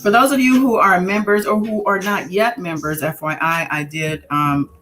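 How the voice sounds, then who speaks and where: A woman's voice: on the low side, fairly even intonation, moderate pace, fairly bright tone, soft background music, rough recording. Laid-back woman hosting a live chat. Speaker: host and tarot reader. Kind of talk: casual live-stream chat.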